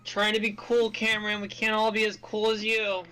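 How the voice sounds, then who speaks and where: A woman's voice: mid-range voice, monotone, normal speed, pushed and bright, faint music behind, poor audio. A woman co-hosting a game stream, chatting casually with a dry, teasing manner. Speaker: co-host. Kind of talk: casual chat.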